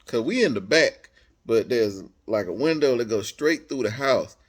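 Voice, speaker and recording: An American man telling a personal story casually, in animated, slangy speech, acting out bits of dialogue as he goes. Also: medium pitch, normal speed, breathy edge.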